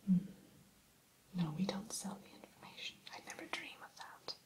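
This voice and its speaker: A woman playing a polite, primly formal evil queen shopkeeper in a soft, unhurried ASMR whisper. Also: medium pitch, sing-song, lightly acted. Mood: courteous, businesslike. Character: genteel evil queen running an enchanted mirror shop. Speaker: shopkeeper character in ASMR roleplay.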